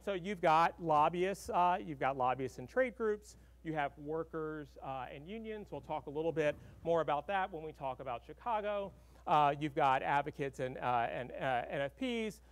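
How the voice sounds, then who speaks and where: A middle-aged man from Arkansas giving a conversational conference keynote, full of fillers and "right?" tags, speaking at a podium in a large hall. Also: high voice.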